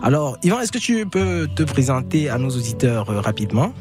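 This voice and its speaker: A man hosting a radio show from a studio, presenting in a measured way with frequent hesitations. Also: medium pitch, big pitch swings. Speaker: radio host. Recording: radio broadcast with music behind. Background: music.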